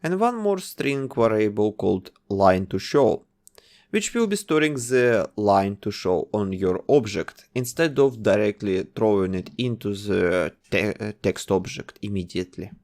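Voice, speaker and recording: A man narrating a coding tutorial, calmly giving instructions one step at a time. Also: lowish voice, unhurried. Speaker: game development tutor.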